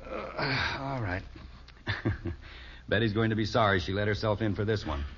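A man's voice: on the low side, a little slow, fairly bright tone, a little hiss or hum. An actor playing an exasperated middle-aged American father in a 1950s radio sitcom, with an emphatic, blustering manner. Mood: amused, smug. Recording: muffled vintage 1950s radio recording.

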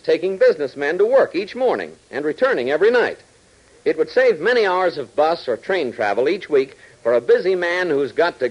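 A man's voice: fairly high pitch, husky, muffled recording. A man working as a 1940s radio announcer, reading scripted narration in a dramatic, emphatic style.

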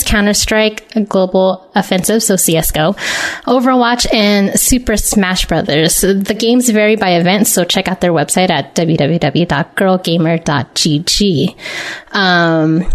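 A woman hosts a casual live show, reading out news and announcements. Her pitch sits in the middle for a woman, and her delivery is informal and conversational. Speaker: podcast host.

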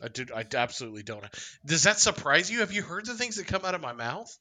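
Very bright, sharp-voiced man chatting. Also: on the high side, sing-song, fast talker, no echo. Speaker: podcast co-host. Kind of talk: casual chat.

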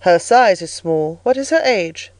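A woman voicing a solemn, stern male clergyman who speaks slowly and preachily in an audiobook story. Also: expressive, darkish tone, no echo. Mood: solemn, doubtful. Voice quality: bass. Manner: solemn, slow, sermonizing. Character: solemn, stern, harsh elderly clergyman interrogating a child.

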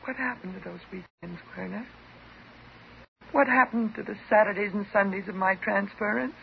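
An American actress playing an intense, unstable psychotherapy patient, theatrical and emotionally volatile, in a 1950s radio drama. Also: female voice, mid-range voice, normal speed, creaky, close to an everyday voice. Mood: bitter, taunting. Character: intense, unstable woman psychotherapy patient in love with her doctor. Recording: dull, hissy 1950s radio recording.

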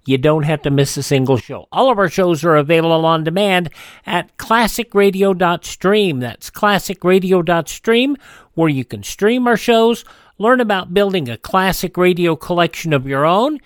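High-voiced male radio host, lively. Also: normal speed, no room sound, clean studio sound. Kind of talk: scripted radio host outro.

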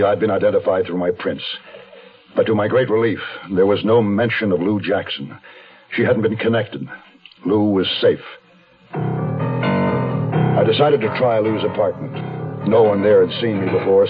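A man's voice: deep voice, lively intonation, measured pace, pauses often. An actor playing a hunted man, narrating his story tersely in a 1970s radio thriller. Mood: terse, determined. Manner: terse, hard-boiled storytelling. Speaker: narrator and lead character. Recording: dull 1970s radio recording, music behind.

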